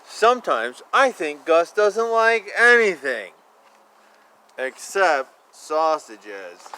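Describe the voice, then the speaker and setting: A man reading children's picture books aloud in a playful, expressive way, with casual asides and repeated phrases. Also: fairly high pitch, slow pace. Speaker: children's book reader. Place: outdoors. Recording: noisy outdoor ambience. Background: outdoor ambience, animal and vehicle sounds.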